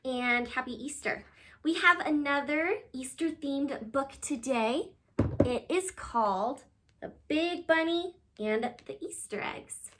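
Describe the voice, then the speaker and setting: A woman reading a children's picture book aloud in an animated, expressive way, addressing young listeners. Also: high-pitched, slow pace, background noise.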